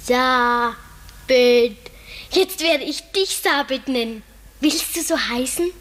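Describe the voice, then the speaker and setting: A trusting young girl tells her tale in a radio play. She speaks high and slowly, with deliberate, dreamy wonder. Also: fairly bright tone, exaggerated voice. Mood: curious, eager. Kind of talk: German radio play acting, storytelling narration.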